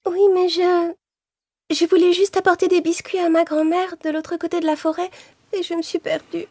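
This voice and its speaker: A woman's voice: very high voice, flat delivery, moderate pace, mellow, no echo, studio quality. A woman voicing a sweet, trusting little girl in a children's cartoon fairy tale, speaking in an earnest, polite, childlike way. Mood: apologetic.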